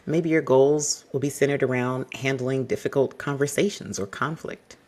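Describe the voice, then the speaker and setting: A man hosting an advice podcast, speaking to the listener in a polished, exacting and fairly monotone way. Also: medium pitch. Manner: measured, exacting, addresses listener directly. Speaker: podcast host.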